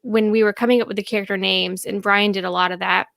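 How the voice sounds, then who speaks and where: Female podcast guest, smooth clear mid-range voice, chatty and informal, recorded dry. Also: mid-range voice, steady tone, fast, fairly bright tone, no echo. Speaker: guest, audio drama producer. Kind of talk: casual podcast chat.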